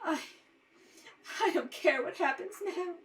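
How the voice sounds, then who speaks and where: A woman's voice: very high-pitched, unhurried, fairly bright tone, distant mic. A woman playing a distraught young heroine in a melodramatic old radio serial manner. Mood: despairing. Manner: melodramatic, breathless exclamations. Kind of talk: 1930s radio serial acting. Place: kitchen table at home.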